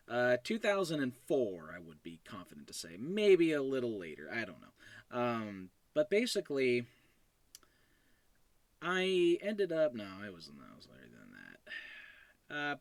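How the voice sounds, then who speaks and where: A man's voice: medium pitch, no room sound. Young man rambling casually, close dry sound. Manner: informal, rambling, self-correcting. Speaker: podcast host and show creator. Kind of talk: casual solo commentary.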